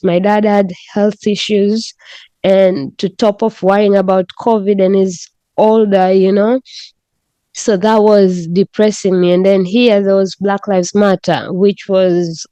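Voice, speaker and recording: A woman's voice: mid-range voice, level tone, a little slow, warm tone, no room sound. A woman from Tanzania, a podcast guest speaking frankly and with animation, swearing freely. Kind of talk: candid conversational storytelling.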